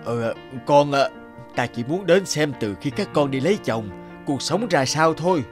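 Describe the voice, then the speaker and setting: An actor playing a gentle, sorrowful elderly father in a cartoon, with a halting, plaintive delivery. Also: on the high side, expressive, soft background music, natural voice. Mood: sad, resigned. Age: older. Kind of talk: cartoon voice acting.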